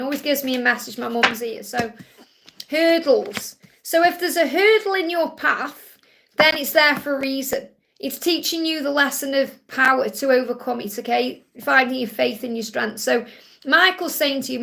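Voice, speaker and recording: A middle-aged woman gives a live spiritual reading in a chatty, reassuring way, often checking in with "okay?" Her voice sits high and carries a gravelly fry, and it is turning croaky. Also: a bit bright, a little hiss or hum. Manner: chatty, reassuring, peppered with "okay?" and "you know". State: voice getting croaky. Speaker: psychic reader hosting a live Q&A.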